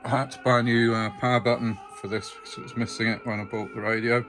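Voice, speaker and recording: A man giving a casual, unscripted hands-on demonstration of his radio collection, speaking in hesitant, halting phrases. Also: medium pitch, level tone, music in the background.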